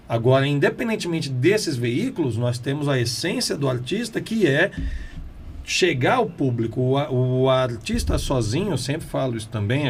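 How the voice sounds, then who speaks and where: Brazilian man, interview host, earnest, agreeable, mid-pitched, faint noise behind. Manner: earnest, agreeable, frequent short affirmations. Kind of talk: conversational interview.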